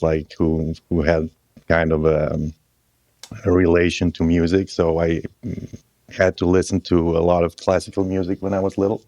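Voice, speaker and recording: Very deep-voiced man from Croatia. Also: a little mellow, no room sound, clean studio sound. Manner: halting, loose, with restarts and small laughs. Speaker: guest musician. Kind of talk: casual interview chat.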